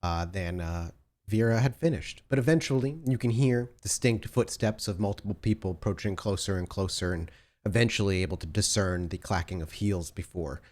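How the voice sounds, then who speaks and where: A man's voice: deep voice, normal speed, darkish tone. A man narrating an improvised tabletop game as game master, descriptive and conversational.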